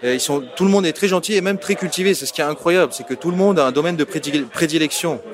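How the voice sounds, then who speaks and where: A man's voice: on the high side, fast talker. A man from Lebanon, a festival attendee, speaking enthusiastically and searching for words.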